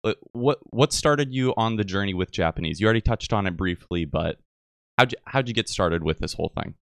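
A young man hosting a podcast interview, joking and halting, with frequent restarts. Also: fairly low pitch, lively intonation, creaky, clean tone, no echo. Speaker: podcast host.

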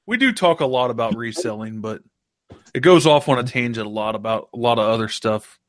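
A man's voice: mid-range voice, animated, fairly quick, dry recording, clean studio sound. A man hosting a casual live-stream chat, joking and laughing often. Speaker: show host. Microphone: new studio microphone.

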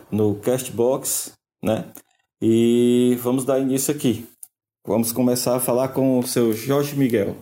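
A man's voice: medium pitch, mellow. A man hosting a casual podcast interview, introducing his guest in a slightly hesitant way. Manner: hesitant, with fillers and "né?" tags.

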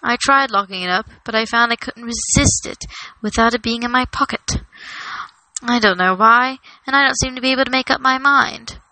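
A woman's voice: fairly high pitch, normal speed, bright, forceful tone, no echo, poor audio. A woman voicing a stubborn, possessive elderly male hobbit in a storybook, defensive and hesitant. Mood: apologetic, troubled. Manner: hesitant, defensive, stammering under pressure. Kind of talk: storybook character reading.

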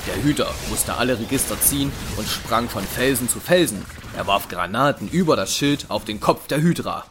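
A man's voice: mid-range voice, animated, normal speed, background noise. A man narrating a scripted sci-fi audio drama as its storyteller. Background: music.

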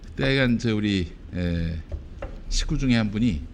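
A man's voice: lowish voice, lively intonation, unhurried, a little hiss or hum. A middle-aged man from Chuncheon, South Korea, chatting casually on a panel and telling stories in a teasing, joking way.

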